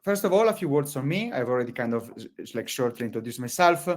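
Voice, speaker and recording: A man with an Italian accent leads a live webinar over a video call, speaking at an average pitch. His sentences ramble, packed with fillers and restarts as he gathers his thoughts. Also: very animated, fast talker. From Italy. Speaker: webinar presenter.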